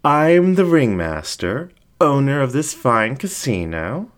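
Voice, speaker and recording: A man's voice: mid-range voice, big pitch swings, voice pushed up. A man voicing a flamboyant, vain and seductive male fox ringmaster in a cooing, theatrical way, as in an audio story. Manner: cooing, drawn-out, theatrical delivery.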